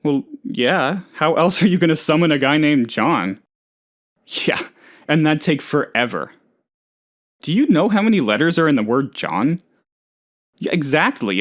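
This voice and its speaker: An oddball male office worker for a comedic game. His voice sits on the high side and leaps around with animated intonation. He is excitable and scatterbrained, rambling with total earnestness about absurd things. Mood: cheerful, eager. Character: eccentric, eager, scatterbrained male office colleague, cheerfully odd. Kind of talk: comedic video game character dialogue.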